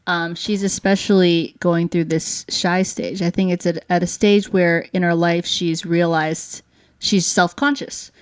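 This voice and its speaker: Slightly low-voiced young woman, casual chat. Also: steady tone, a little slow, clear, resonant tone. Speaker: parent co-hosting a family show.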